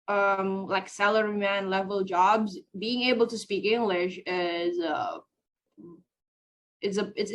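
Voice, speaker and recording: Assertive Indonesian woman debater. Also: mid-range voice. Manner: assertive, opinionated, quick bursts. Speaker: discussion panelist. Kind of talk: debate-style discussion.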